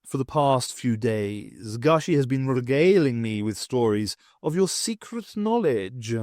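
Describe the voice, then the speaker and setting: A man's voice: mid-range voice, lively intonation, a little slow, darkish tone, dry recording, clean studio sound, close to an everyday voice. A man voicing an anxious, baffled male cat companion who speaks in a formal, old-fashioned way.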